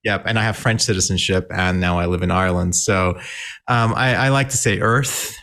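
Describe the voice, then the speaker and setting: A man with an American accent, chatting as a guest on a video call, relaxed with lots of ums and easy laughs. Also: sits low, moderate pace. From Malaysia. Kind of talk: casual video-call interview.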